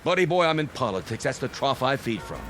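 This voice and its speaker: A man's voice: mid-range voice, big pitch swings, fast, a bit bright, a little hiss or hum. A man playing a folksy, wily local politician in an audio drama, blustering and full of old-fashioned slang. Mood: animated, scheming. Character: folksy, wily local politician haggling over a used car.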